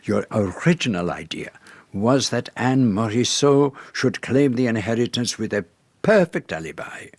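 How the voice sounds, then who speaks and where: An actor playing a shrewd, assured detective in a radio drama, with a Belgian French accent and deliberate, formal, precise phrasing. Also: male voice, medium pitch, no echo. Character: shrewd, assured male detective laying out a murder.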